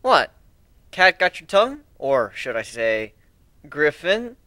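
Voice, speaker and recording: A man's voice: a little high, sing-song, slow, pushed and bright, dry recording, clean studio sound, exaggerated voice. A man voicing a cocky, teasing young pirate in an amateur dramatic reading, smug and snarky as he explains himself.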